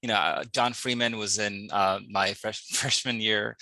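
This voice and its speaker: Low gravelly guy in his 40s, crisp bright tone, dry close sound, musing about his past. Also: fairly even intonation, unhurried, no echo. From United States. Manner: candid, musing, self-deprecating. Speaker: poet and English professor, panel guest. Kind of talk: reflective panel conversation.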